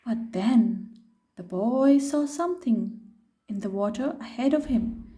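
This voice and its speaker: A woman with a high, softly mellow voice reads a children's story aloud. She takes her time, shaping the phrases expressively and stopping to put simple questions to her young listeners. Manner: expressive, unhurried, pausing to ask questions. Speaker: storyteller reading a picture book aloud to children.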